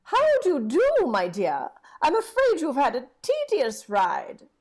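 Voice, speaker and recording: A woman's voice: high voice, huge pitch swings, a little slow, darkish tone, full character voice. A woman playing a kindly, genteel elderly housekeeper in a vintage-style radio drama, with a fussing, exclamatory manner. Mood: kindly, chatty. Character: kindly, chatty elderly housekeeper, slightly deaf.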